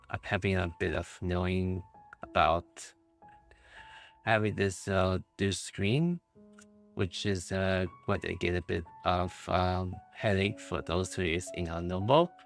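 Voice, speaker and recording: Male game streamer, low register, unhurried, halting and rambling casual commentary over faint music.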